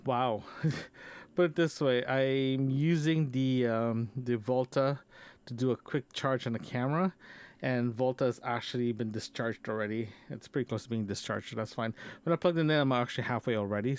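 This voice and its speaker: A man hosting a casual audio diary, talking in a halting, rambling way with frequent repeats and backtracking. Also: mid-range voice. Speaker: podcast host. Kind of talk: casual audio diary about personal gear.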